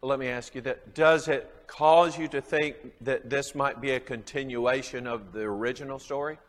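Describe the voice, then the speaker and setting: High-voiced Southern man teaching Bible class. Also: fairly bright tone, in a large hall. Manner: chuckling often, quizzing the class.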